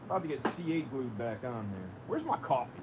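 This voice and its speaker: A man's voice: very animated, fairly quick. An American man talking casually and unscripted as a livestream host, rambling half to himself while he works. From United States. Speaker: livestream host, DIY woodworker. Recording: noisy workshop, machinery rumble.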